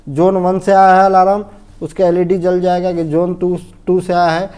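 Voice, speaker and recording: Mellow-voiced Indian man teaching, slightly high-pitched. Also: monotone, moderate pace, smooth. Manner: didactic, repetitive, often checks understanding and asks himself questions. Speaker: fire safety instructor. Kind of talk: informal technical lesson, explaining step by step.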